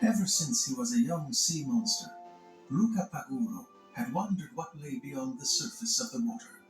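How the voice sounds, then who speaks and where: Male children's read-along narrator: expressive, dramatic, medium pitch, music bed underneath. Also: moderate pace. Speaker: storybook narrator. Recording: echoey narration over music.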